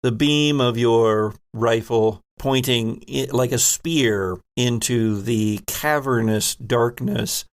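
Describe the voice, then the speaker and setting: Gravelly man narrating with theatrical flair. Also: medium pitch. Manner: theatrical, drawn-out storytelling. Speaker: host and game master. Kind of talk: dramatic narration of a tabletop horror game.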